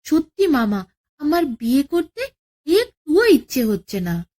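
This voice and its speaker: A woman's voice: high-pitched, very animated, a little slow, mellow. A woman voicing a sly, scheming jackal nephew in a children's folk tale, coaxing and persuasive. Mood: feigned reluctance. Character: sly, quick-witted male jackal nephew, scheming trickster.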